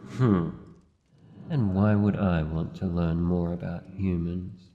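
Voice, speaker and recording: An Australian man playing a wary, lonely living shadow monster in a fantasy ASMR roleplay, speaking in a formal, halting, guarded way. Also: sits low, steady tone. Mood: guarded, softening. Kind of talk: fantasy ASMR roleplay, slow deliberate delivery. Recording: muffled, long cavernous reverb, faint noise.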